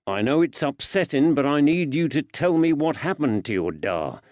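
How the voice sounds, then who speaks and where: A man voicing a kindly character who gently coaxes answers from a child, with old-fashioned working-class phrasing. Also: on the high side, very animated, normal speed, no echo, lo-fi sound, pitched above the performer's own voice. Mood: gently coaxing.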